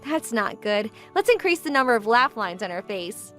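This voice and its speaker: A woman's voice: a little high, very animated, normal speed, a bit bright. A woman playing a composed, forward young businesswoman in a manga dub, speaking politely and matter-of-factly. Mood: playful, cheerful. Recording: background music under the voice.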